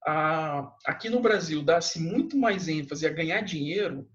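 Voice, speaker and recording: High-voiced casual male history teacher. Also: animated, measured pace. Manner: casual, slangy, with frequent tag questions. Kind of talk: recorded classroom lecture. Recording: dull lo-fi sound.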